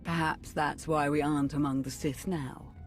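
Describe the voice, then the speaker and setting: An actor playing a proud, coolly philosophical former Sith warrior in a sci-fi game, speaking in a measured, deliberate way. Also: mid-range voice, fairly even intonation, music in the background, close to an everyday voice. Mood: cool, assured.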